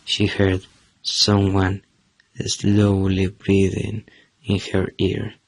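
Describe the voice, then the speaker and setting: A man's voice: low-pitched, monotone, drawn-out words, gravelly, poor audio. A young man, a native Spanish speaker with a Chilean accent, narrating a scripted horror radio play in a dramatic, suspenseful way. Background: prominent music.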